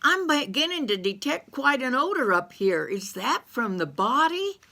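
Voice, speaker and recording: Bossy folksy woman, dry and sharp-toned, as a narrator puts her on. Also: high voice, very animated, normal speed, gravelly, voice pushed up, exaggerated voice.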